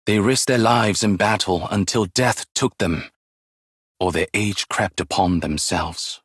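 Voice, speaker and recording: An actor playing a wise, weary, ageless martial arts master in a game, with measured, formal, poetic delivery and a husky voice. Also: male voice, low voice, a bit bright, dry recording, studio quality, exaggerated voice. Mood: somber, reflective. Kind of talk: scripted game character voice lines, solemn and poetic.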